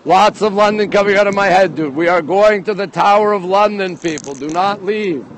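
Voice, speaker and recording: A middle-aged man hosting a casual walking live stream, chatty and excitable, often repeating himself as he reacts to viewers. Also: sits high, moderate pace, rough recording. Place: outdoors on busy city streets, walking. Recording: outdoor street and traffic noise.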